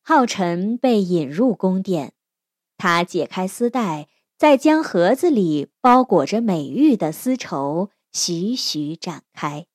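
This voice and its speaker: A woman tells a scripted fairy tale slowly, with lively swings of pitch. The narration is expressive and recorded dry, with no room sound. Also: no room sound. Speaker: storyteller.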